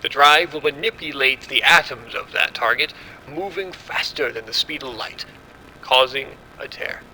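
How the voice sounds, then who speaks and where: Confident male scientist played by a male actor for audio drama; measured, explanatory, very sharp and forceful, faint ambient noise. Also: medium pitch, poor audio. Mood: assured, proud. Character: confident male scientist running a secret military experiment.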